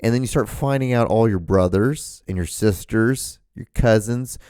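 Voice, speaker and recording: A man's voice: lowish voice, normal speed, warm tone, clear, resonant tone, no echo, clean studio sound. A man in his thirties from Honduras, hosting a solo podcast in a casual, rambling, profane way with many restarts, sitting in a room at a microphone. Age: 30s. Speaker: podcast host. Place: room at home, seated in front of a camera. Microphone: studio microphone, wearing earphones.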